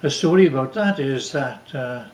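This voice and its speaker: An older man from Wales telling stories from his life casually, haltingly, repeating words as he recalls them. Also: medium pitch, lively intonation, a little mellow. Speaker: father telling family stories. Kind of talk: casual storytelling, reminiscing. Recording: roomy, echoey home recording.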